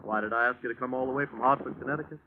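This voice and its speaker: An actor playing an earnest, folksy American pastor and former army chaplain in 1950s radio drama style, speaking persuasively and emphatically. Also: male voice, mid-range voice, racing, close to an everyday voice. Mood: urgent, earnest. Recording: muffled, noisy vintage radio broadcast.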